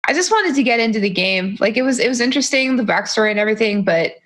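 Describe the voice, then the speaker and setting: A woman co-hosting a casual gaming podcast, speaking in a relaxed, offhand way. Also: on the high side, racing. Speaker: podcast co-host.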